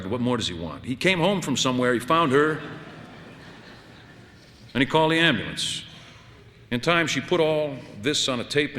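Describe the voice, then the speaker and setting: A man's voice: on the low side, bright, forceful tone, big-room sound. A man narrating a first-person literary story in a conversational, wry, dramatic way as an audiobook reader.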